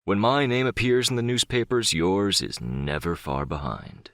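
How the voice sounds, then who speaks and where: A man's voice: on the low side, moderate pace, fairly bright tone, no room sound, clean studio sound. A man playing a powerful, middle-aged crime boss in an audiobook drama, controlled, condescending and smugly self-assured. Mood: smug, commanding. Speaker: audiobook voice actor.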